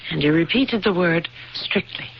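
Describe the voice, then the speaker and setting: Young American fiancée, earnest, insistent, lower voice, vintage radio play, dull lo-fi sound. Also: female voice, vocal fry, slight background noise. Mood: probing, frustrated. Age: young adult. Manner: earnest, insistent, hesitant pauses. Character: earnest, stubborn young bride-to-be, caring and persistent. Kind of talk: 1970s radio drama acting. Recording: dull lo-fi old radio broadcast, music behind.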